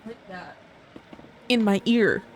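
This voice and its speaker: A woman's voice: medium pitch, takes it slowly, a little hiss or hum. A woman streaming a video game, reacting aloud in casual, exclamatory commentary. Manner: exclamatory, talking back at the game. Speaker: live streamer.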